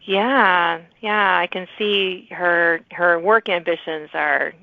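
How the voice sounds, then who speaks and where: Phone-like, lower-pitched woman podcast host. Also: fairly even intonation, slow, gravelly, dry recording. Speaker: podcast host interviewing authors. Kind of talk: conversational interview. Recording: thin phone-like line.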